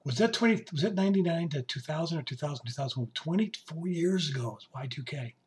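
Man, hoarse, raspy, fairly high voice, rambling jokey podcast host. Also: expressive, quick pace, a little hiss or hum. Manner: rambling, joking, talks to his musician. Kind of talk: casual monologue. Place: basement home studio.

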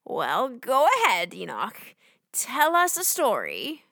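A woman playing a kindly, patient guardian in a children's audio drama, speaking gently and with composure. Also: on the high side, wildly swooping pitch, takes it slowly, exaggerated voice. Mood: encouraging. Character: kindly, composed female guardian introducing her donkey friend.

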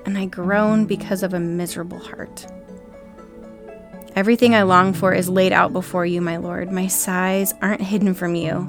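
A woman reading a psalm aloud as a prayer, measured, with pauses between short phrases. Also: medium pitch. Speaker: scripture reader. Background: faint acoustic guitar music.

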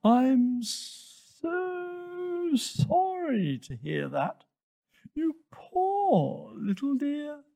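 A man voicing a sly snake in a children's story, hissing slowly as he coaxes with soothing menace. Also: very high-pitched, sing-song, creaky, dark tone, pitched above the performer's own voice, full character voice. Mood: falsely tender. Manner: hissing, slow, drawn-out coaxing delivery.

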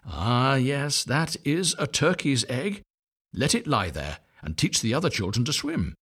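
A man voicing an old visiting duck who gives advice slowly and knowingly. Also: medium pitch, big pitch swings, a bit bright, husky, voice pushed up, heavily acted. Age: older. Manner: slow, knowing, drawn-out.

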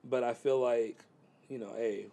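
A man in his thirties with a gravelly, mid-range voice talks casually about dating. He rambles in a laid-back way and leans on fillers as he thinks. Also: medium pitch, fairly even intonation, measured pace, dark tone. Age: 30s. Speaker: guest.

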